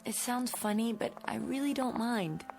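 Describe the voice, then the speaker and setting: An actress playing an earnest, hesitant young woman schoolteacher in a radio drama, gentle and halting in her delivery. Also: fairly high pitch, lively intonation, gravelly, a little hiss or hum. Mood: reticent, earnest.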